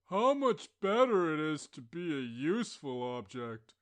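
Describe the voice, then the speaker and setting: A man's voice: fairly high pitch, very animated, unhurried, pitched below the performer's own voice. A man reading a storybook voices a sensible kitchen stove lifter who speaks in a dry, matter-of-fact way. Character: sensible plain-spoken stove lifter, practical.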